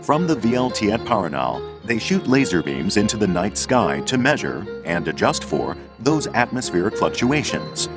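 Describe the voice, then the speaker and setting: Deep-voiced male documentary narrator. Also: sing-song, moderate pace, few pauses. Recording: music under the voice. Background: background music.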